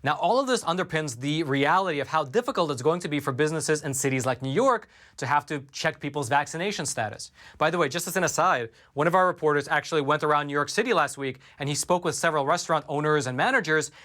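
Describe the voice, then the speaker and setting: A man hosting a news commentary show from a studio, delivering a scripted report and reading quotes aloud in a measured way. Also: fairly high pitch, a bit bright.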